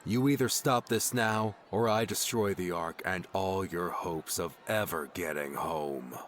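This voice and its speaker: A man's voice: low-pitched, a little slow, a bit bright, slight background noise. An actor playing a young, hardened man who defiantly guards his home, terse and intense, in an audiobook drama. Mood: grim, resolute.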